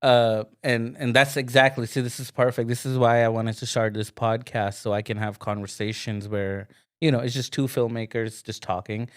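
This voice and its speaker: Easygoing man hosting a podcast. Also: fairly low pitch. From India. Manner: friendly, easygoing, laughs along, fillers. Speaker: podcast host. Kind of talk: casual interview chat.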